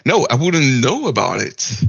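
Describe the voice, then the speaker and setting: Gravelly man, hesitant. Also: mid-range voice, huge pitch swings, a little slow, no room sound, dull audio. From Martinique. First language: French. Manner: hesitant, searching for words, chuckles often. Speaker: caller. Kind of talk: call-in discussion.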